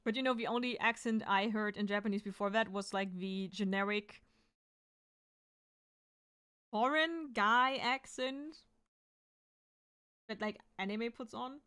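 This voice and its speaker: Smooth-voiced woman chatting loosely. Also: medium pitch, normal speed, sharp tone. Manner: rambling, thinking aloud with many fillers. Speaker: artist streaming while drawing. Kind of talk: casual chat to stream viewers.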